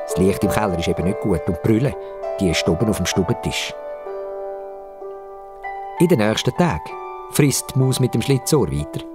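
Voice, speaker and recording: A man with a Swiss German accent narrating a children's story dramatically, with suspenseful pauses and repetitions. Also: fairly low pitch. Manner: expressive, suspenseful, with repetitions for effect.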